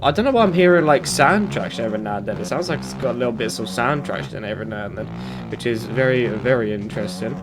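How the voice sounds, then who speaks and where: A man's voice: mid-range voice, expressive, quick pace, creaky. A British man with a blocked nose from hay fever, casually rambling through gameplay commentary with lots of fillers and self-corrections. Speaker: gaming YouTuber. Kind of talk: casual commentary while playing. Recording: game engine noise behind. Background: motorbike game engine sounds.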